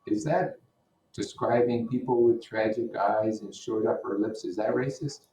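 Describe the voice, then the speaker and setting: A man's voice: fairly low pitch, steady tone, unhurried, warm tone. A man teaching, reading a novel aloud in an informal way with blunt asides. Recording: roomy echo, distant microphone.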